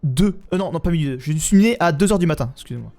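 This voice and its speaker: Gravelly-voiced young man streaming a game. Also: on the high side, animated, very fast, warm tone. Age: 20s. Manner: joking, playful complaints. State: tired. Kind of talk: casual chat while gaming.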